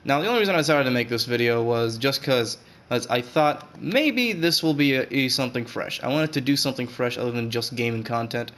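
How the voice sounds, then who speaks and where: A man's voice: mid-range voice, expressive, brisk, few pauses, a bit bright. A man from Saudi Arabia with an English accent, talking in a rambling, hesitant voice-over with many fillers and restarts. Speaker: gaming video creator. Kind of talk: unscripted voice-over commentary. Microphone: voice-over over gameplay footage. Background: guitar music.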